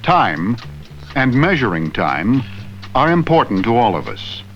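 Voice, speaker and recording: A man narrates an old classroom film in a slow, clear, teacherly way, his pitch rising and falling with plenty of movement. The sound is dull and muffled, like an old film soundtrack. Soft music runs faintly underneath. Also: very animated. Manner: slow, clear, teacherly delivery with questions to the viewer. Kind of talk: scripted educational narration.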